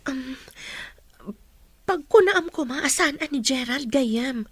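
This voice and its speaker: A woman voices a young female student in an audio drama with a strikingly high voice. She is proud and prickly, curt in the way she talks. The recording is dry. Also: expressive, takes it slowly, no room sound, heavily acted. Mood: rueful. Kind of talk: radio-style audio drama acting.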